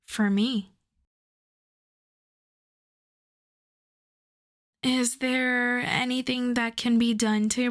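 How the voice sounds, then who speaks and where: A woman playing a wry, flirtatious female supervillain coaxing a favor, in an intimate, conversational ASMR roleplay style. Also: on the high side. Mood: pointed, coaxing. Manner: unhurried, teasing, conversational.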